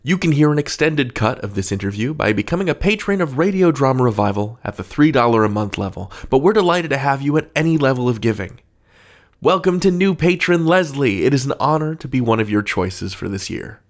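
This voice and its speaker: A man's voice: mid-range voice, animated, brisk. A man hosting a podcast, reading scripted announcements in a playful, theatrical way with formal flourishes. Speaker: podcast host. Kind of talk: scripted podcast outro with interview.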